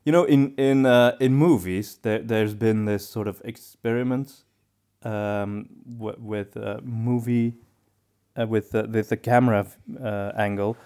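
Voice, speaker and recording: A man's voice: on the low side, fairly even intonation, unhurried, mellow, clean tone. A man in a casual podcast discussion, feeling his way through his thoughts with repeated and rephrased words. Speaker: podcast co-host.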